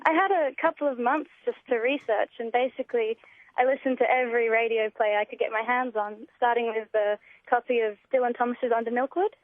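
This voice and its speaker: A young Australian woman talks over a tinny, narrow phone line. Her voice is fairly high and her manner is keen and enthusiastic, like a guest on a casual radio chat. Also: steady tone, fairly quick. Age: young adult. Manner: enthusiastic, gushing. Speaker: interview guest, student writer. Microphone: telephone call.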